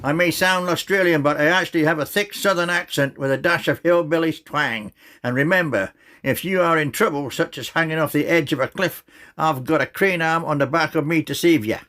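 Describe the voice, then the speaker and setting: A man's voice: fairly high pitch, fairly quick, pushed and bright, voice pushed up. A man voicing a friendly male tow truck who offers help in a folksy, cheerful way.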